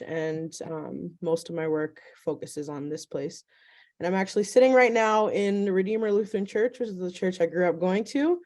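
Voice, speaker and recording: A woman's voice: lowish voice, dark tone. A young woman from Minneapolis speaking casually on a video call panel, with frequent ums. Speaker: youth program coordinator.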